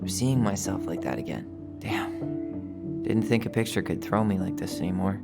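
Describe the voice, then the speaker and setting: A man's voice: sits low, measured pace, creaky, over loud music. An actor playing a wry, guarded but sincere young man in a video game, with an American accent. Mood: reflective. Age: young adult. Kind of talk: naturalistic game dialogue.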